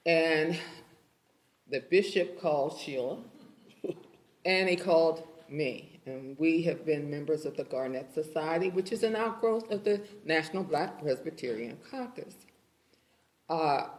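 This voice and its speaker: A woman on a church panel, introducing herself in a measured, steady way. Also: low-pitched.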